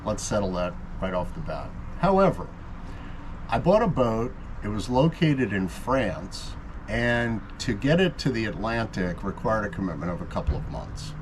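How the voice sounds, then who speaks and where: A man's voice: on the low side, slight background noise, poor audio. A man who owns a sailboat, casually telling the camera how he came to sail with strangers. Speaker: boat owner and vlog host.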